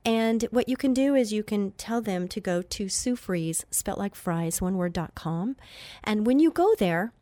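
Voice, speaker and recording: A woman's voice: mid-range voice, lively intonation, a little slow, warm tone, dry recording. Nasal-sounding woman hosting faith radio. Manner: chatty, earnest, with many fillers and restarts. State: nasal from allergies. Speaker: radio show host.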